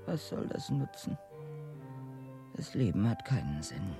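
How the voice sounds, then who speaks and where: An actress playing a weary, stubborn housewife who nurses a sick husband in a radio drama, speaking in a halting, reciting way. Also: female voice, a bass voice, very animated, heavily acted. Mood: plaintive, resigned. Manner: slow, halting recitation, reciting chores and puzzle words to herself. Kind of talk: German radio drama acting. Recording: studio radio play with music underneath.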